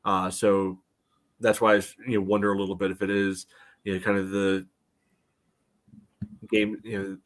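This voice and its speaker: A man with a somewhat low voice gives casual match analysis as a podcast co-host. He reasons things out in a measured way, hedging often with "you know" as he works toward his point. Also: flat delivery. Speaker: co-host of a soccer fan podcast.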